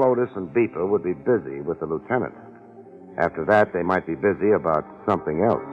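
A man playing a sardonic, wisecracking private detective in a 1950s radio drama, speaking in terse, clipped sentences. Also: low-pitched. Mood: brooding, terse. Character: hard-boiled wisecracking male private detective. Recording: muffled vintage 1950s radio recording.

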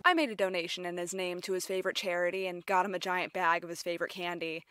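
A woman's voice: lowish voice, fast talker, a bit bright, clear, resonant tone, no room sound, natural voice. A woman voicing a sensible, prim young female ticket-booth worker in a cartoon, matter-of-fact in manner. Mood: resigned. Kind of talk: animated web series voice acting.

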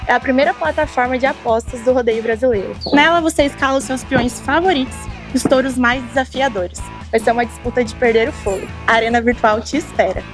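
A woman's voice: on the high side, fast, few pauses, over music. A woman voicing a scripted, upbeat advertisement. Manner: upbeat, selling. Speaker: ad voice-over.

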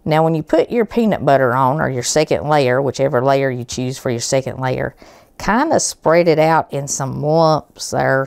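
Hoarse, low-voiced woman cooking. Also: a little mellow, no echo. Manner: homey, chatty, folksy explanations. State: hoarse from a cold. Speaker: cooking show host. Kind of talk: home cooking demonstration. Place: home kitchen, indoors.

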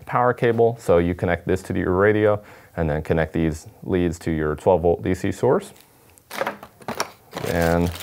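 A man's voice: on the low side, a little hiss or hum. A man, giving a casual unboxing demonstration as a product presenter. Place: indoor studio at a table.

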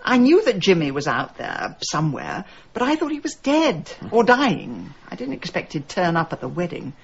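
A middle-aged British woman sleuth with a husky edge and a very animated delivery. She is quick-witted, stubborn and wry, in radio drama style. The recording is a little muffled. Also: fairly low pitch, heavily acted. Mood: earnest, pleading. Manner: wry, quipping, expressive.

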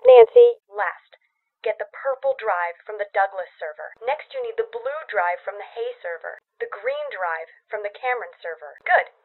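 Businesslike spy handler over phone line. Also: female voice, mid-range voice, animated, normal speed. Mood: instructional, commanding. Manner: directive, instructional. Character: businesslike female spy handler giving orders. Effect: radio or phone filter. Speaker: game character, mission handler. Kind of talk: video game voice acting. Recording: thin phone or radio line.

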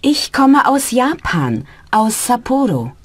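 A woman plays a polite young student asking simple questions in a language-course dialogue. Her voice sits fairly high with lively intonation and a touch of breathiness. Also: slow, slightly rough, heavily acted. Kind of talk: slow scripted learner dialogue.

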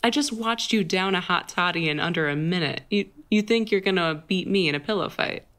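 A woman playing a playful, flirty, tender best friend with a crush in an intimate, halting ASMR roleplay. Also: fairly low pitch, fairly bright tone, lightly acted. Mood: excited, playful. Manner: teasing, halting, giggly delivery. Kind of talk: intimate ASMR roleplay, acted one-sided conversation.

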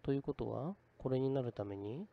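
A man's voice: mid-range voice, animated, fairly quick, gravelly, narrow, tinny audio. A man giving casual commentary as he thinks aloud through a game.

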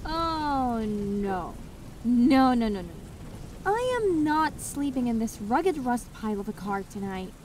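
A woman's voice: on the high side, sing-song, takes it slowly, a little mellow. A woman playing a tired, exasperated pregnant wife in her thirties, scolding and insistent in an audio drama. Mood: exasperated, firm. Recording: slightly distant mic, faint rain noise.

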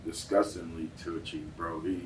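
A man's voice: sits low, little pitch movement, normal speed. A man in his thirties, a coach chatting as a guest in casual slang, often saying bro. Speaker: football coach and trainer, guest. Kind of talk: casual interview chat. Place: indoor gym, podcast set. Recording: echoey, noisy gym, distant mic.

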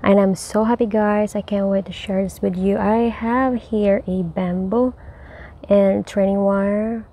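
A woman's voice: mid-range voice, steady tone, a little slow, mellow. A woman giving a cheerful, chatty tour of her backyard garden, talking to viewers while filming outdoors on a handheld phone. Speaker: home gardener. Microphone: handheld phone camera.

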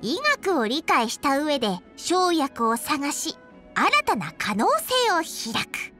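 High, childlike little dragon girl healer. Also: sing-song, slow, bright, forceful tone, soft background music, exaggerated voice. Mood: proud, earnest. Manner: archaic elderly-style speech from a childlike voice, self-important. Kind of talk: acted video game character voice lines.

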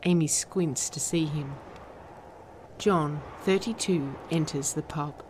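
Low, measured female narrator. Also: background noise. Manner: measured, descriptive. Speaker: narrator reading stage directions. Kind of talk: scripted narration.

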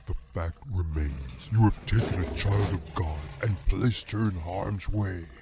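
A man voicing a huge, stern male bear king with a deep, rumbling voice, speaking slowly and solemnly in a storybook reading. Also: noisy background. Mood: defiant. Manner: slow, solemn and commanding.

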